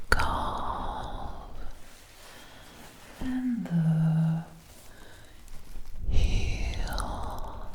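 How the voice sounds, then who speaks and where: Woman, ASMR roleplay, very slow pace, mellow soft whispery voice, methodical, close binaural mic. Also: on the low side. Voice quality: soft, breathy, often whispered. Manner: slow, gentle, methodical narration of each step. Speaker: ASMR creator. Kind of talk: soft-spoken ASMR personal attention roleplay. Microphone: close binaural microphone, ear to ear. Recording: roomy close-up binaural, some noise.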